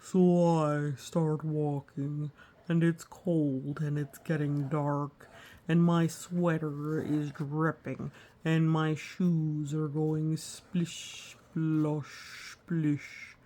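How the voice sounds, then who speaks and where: Woman voicing an anxious, very slow storybook walrus. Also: low-pitched, flat delivery, warm tone, voice pushed down, full character voice. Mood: weary, miserable. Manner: slow, dramatic, dwelling on each misfortune. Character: anxious, gloomy male walrus, imagining disasters. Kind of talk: storybook read-aloud character voice.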